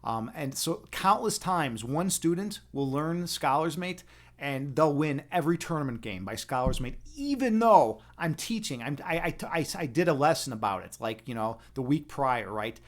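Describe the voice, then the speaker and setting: A man's voice: fairly high pitch, sing-song, moderate pace. A man hosting a casual livestream, explaining chess lines as a teacher, rambling with fillers and frequent tag questions.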